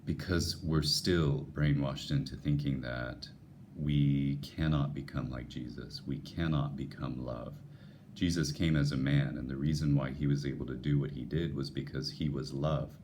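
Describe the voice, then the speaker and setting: A man with an unusually deep, radio-like voice, talking earnestly and musing to his viewers about faith, with frequent restarts. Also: fairly even intonation, moderate pace, a little mellow, slight background noise. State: voice lowered by a passing illness. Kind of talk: unscripted devotional talk to viewers.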